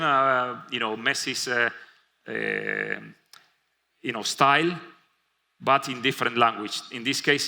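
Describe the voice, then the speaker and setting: Argentinian guy presenting on stage, really bright tone, lots of uhs and restarts. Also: medium pitch. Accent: Argentinian Spanish. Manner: many fillers and self-restarts. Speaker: conference presenter. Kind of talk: keynote presentation. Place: conference stage.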